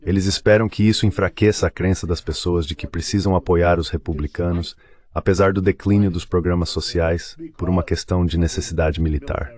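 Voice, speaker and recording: Low-voiced Brazilian man, translation voice-over. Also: steady tone, fairly quick, darkish tone. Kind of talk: dubbed interview translation.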